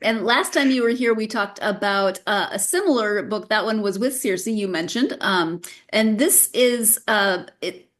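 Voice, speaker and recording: A woman's voice: medium pitch, a little slow, a bit bright. A woman hosting a book podcast, asking questions in a casual way with fillers. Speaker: podcast host.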